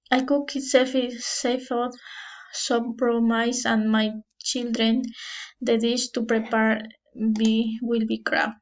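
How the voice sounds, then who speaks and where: A young Ecuadorian student with a Spanish accent reads her prepared presentation, stopping to correct herself now and then. Her voice sits a little high but barely rises or falls, and she takes her time. The recording sounds dull and lo-fi. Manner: halting, with restarts and self-corrections. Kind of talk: reading a prepared class presentation.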